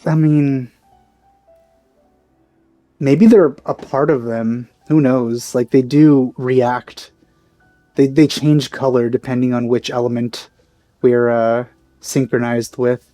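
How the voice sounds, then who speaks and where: A man doing casual let's play commentary in rambling asides. His voice is dark and mellow, recorded dry and close, with faint game music underneath. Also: medium pitch, fairly even intonation, takes it slowly, long pauses, no echo.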